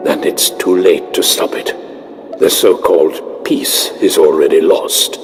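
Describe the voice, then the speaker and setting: A man's voice: low voice, steady tone, unhurried. A man acting a defiant, fatalistic defector general in a video game, grave, weary and dramatic. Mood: grave warning. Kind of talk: scripted video game dialogue. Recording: loud music over voice.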